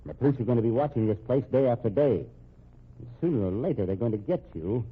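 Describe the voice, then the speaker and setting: A man with a husky voice playing a stern, respectable husband in an old-time radio drama. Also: mid-range voice, lively intonation, fast. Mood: tense, pleading, sarcastic. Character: stern, accusing, respectable husband standing up to threats. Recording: tinny, narrow-band old radio recording.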